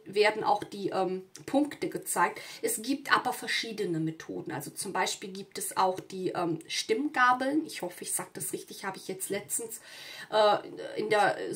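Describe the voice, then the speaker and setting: A woman's voice: medium pitch, fairly bright tone. A woman from southern Europe talking casually to viewers as a tarot reader, often checking in with small tag words as she goes. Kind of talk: casual spoken card reading to viewers.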